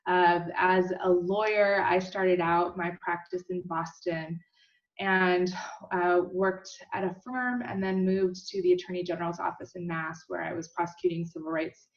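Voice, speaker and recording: A woman's voice: lowish voice, fairly even intonation, normal speed. A woman panelist introducing herself on a video call in an upbeat, chatty way with frequent fillers. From Costa Rica and Mexico, then Colorado. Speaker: panelist, state representative. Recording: muffled distant video-call audio.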